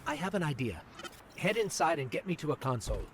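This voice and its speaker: A man's voice: fairly high pitch, sing-song, quick pace, heavy background noise. A man voicing a small, chatty robot companion in a video game, confident and wry in a conversational way. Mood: focused, curious. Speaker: companion character.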